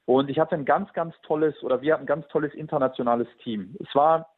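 A man in his twenties giving an earnest, reflective personal testimony about faith, often pausing with hesitations. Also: on the high side, slightly rough, no echo. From Germany. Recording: tinny phone-like sound.

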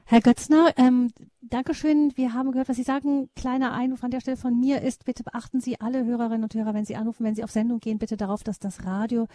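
A woman hosting a radio call-in show, polite and brisk as she introduces callers and passes the word. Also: a little high, level tone, warm tone, no echo. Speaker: radio host.

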